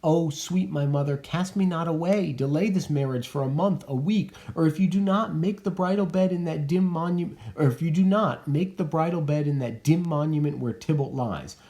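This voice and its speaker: A man's voice: fairly high pitch, moderate pace, few pauses, dark tone, pitched above the performer's own voice, natural voice. A man reading the part of a desperate teenage girl, pleading in earnest recitation. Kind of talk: dramatic reading of a play.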